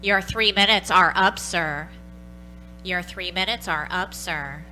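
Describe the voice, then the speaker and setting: A woman's voice: on the low side, slow pace, over loud music, poor audio. A woman in an old-time radio play playing an impersonal telephone operator, clipped and procedural. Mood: impersonal, insistent.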